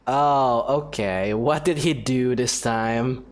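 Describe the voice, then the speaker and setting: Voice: mid-range voice, slow. A gaming video host giving casual, playful let's-play commentary in a chatty way.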